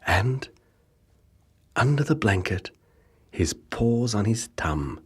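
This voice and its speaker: A man reading children's rhyming stories as an audiobook narrator, with measured, rhythmic, theatrical delivery. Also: fairly low pitch, big pitch swings, husky. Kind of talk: scripted children's storybook narration in rhyming verse.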